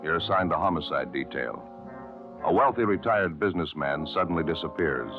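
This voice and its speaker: A male radio announcer with a grave, measured, emphatic formal delivery from a 1950s broadcast. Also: extremely low pitch, lively intonation. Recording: muffled old radio broadcast with music behind.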